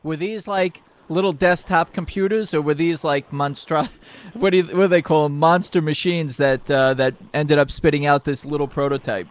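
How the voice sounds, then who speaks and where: A man's voice: fairly high pitch, fairly even intonation, normal speed. A man hosting a radio interview, asking long, rambling questions with eager interest while he searches for words. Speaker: radio show host.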